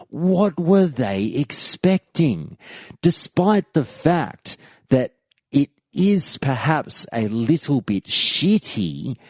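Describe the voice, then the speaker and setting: A man hosts a podcast in a slow, deliberate delivery with a lively, rising and falling pitch. His voice sounds dry and close, with no room around it. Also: no echo. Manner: measured, reads forum posts aloud. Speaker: podcast host. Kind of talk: scripted podcast commentary.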